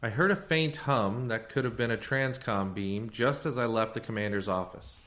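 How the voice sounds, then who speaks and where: An actor playing a calm, shrewd fugitive leader and technician in a radio science fiction drama, with a measured, dry, authoritative manner. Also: male voice, medium pitch, lightly acted. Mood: calm, commanding.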